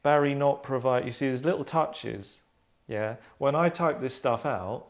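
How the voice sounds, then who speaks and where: A man's voice: medium pitch, measured pace. A middle-aged man, a scriptwriter, narrating and casually explaining his work in an earnest, rambling way. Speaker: scriptwriter reading stage directions. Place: furnished room indoors.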